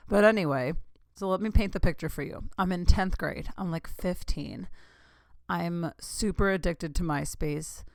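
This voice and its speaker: A woman in her thirties talks through a casual solo podcast, rambling and giggling her way through asides. Her voice sits a little low for a woman. The sound is close and dry, with no room echo. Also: moderate pace, vocal fry. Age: 30s. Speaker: podcast host.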